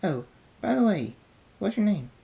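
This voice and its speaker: A man voicing a bubbly, hyper young female pink pony who chatters in excited squeals. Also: high voice, moderate pace, creaky, pitched above the performer's own voice, full character voice. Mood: cheerful.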